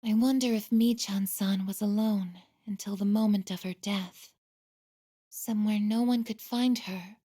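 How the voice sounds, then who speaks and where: A woman playing a gentle, earnest android girl in a video game, speaking politely and formally with soft introspection. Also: medium pitch, steady tone, a little slow, breathy edge. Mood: reflective, solemn.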